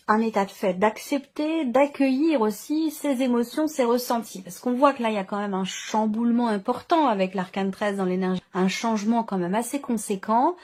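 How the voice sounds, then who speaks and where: Tarot reader, woman, higher-pitched voice, improvised delivery with halting, repeated phrases. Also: a little mellow. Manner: halting, searching for words, repeats herself. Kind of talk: intuitive tarot reading to camera, unscripted.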